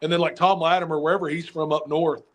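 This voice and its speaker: A Southern American man talks as a podcast guest in a slow, drawn-out, easygoing drawl. His voice sits a little higher than most men's, and he comes across as chatty and relaxed. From southern United States. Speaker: guest wrestler. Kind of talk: casual interview chat.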